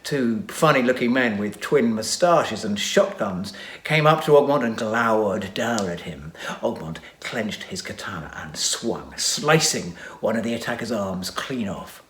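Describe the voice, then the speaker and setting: A man narrating a children's adventure story with dramatic reading and hushed asides. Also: lowish voice, animated, unhurried. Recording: echoey room.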